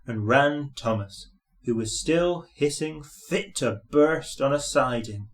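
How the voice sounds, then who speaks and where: A man's voice: medium pitch, slow. A man narrating a scripted children's story as its reader.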